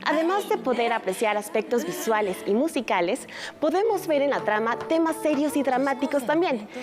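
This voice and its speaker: A woman with a high voice presents a culture segment on a news program. She reads her script in a clear, friendly way, with quiet music playing under her.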